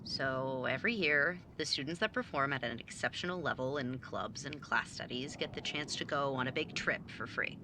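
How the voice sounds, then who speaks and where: A woman playing a bookish, high-strung young mage student in an audio drama, sarcastic and emphatic in a naturalistic way. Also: moderate pace, few pauses, noisy background. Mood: earnest, defensive.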